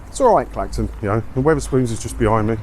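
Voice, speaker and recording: Light outdoor noise of wind and street surrounds an English man with a mid-pitched voice. He talks casually as he walks, rambling like a video blogger. His manner is chatty, self-deprecating and given to grumbling. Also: animated, fast talker, a little mellow. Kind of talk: casual walk-and-talk vlog. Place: outdoors, walking along a windy seaside town street. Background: wind, street and vehicle noise.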